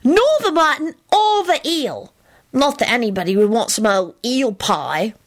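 A woman voicing an excitable, dramatic young boy in a fantasy audiobook, given to wailing and bossiness. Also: a little high, a little slow, pitched above the performer's own voice. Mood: stern instructing.

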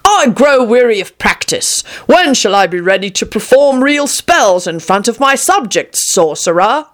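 A woman's voice: on the high side, sing-song, a little slow, voice pushed up. Woman playing a pompous, swooping fairy-tale king. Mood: peevish. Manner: pompous, commanding. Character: vain, foolish, short-tempered male king in a fairy tale. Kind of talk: storybook character acting.

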